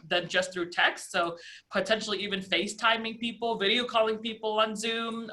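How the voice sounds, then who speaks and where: A woman's voice: mid-range voice, pushed and bright. A woman on a video call panel giving earnest coaching advice, filling her talk with "you know" and "right".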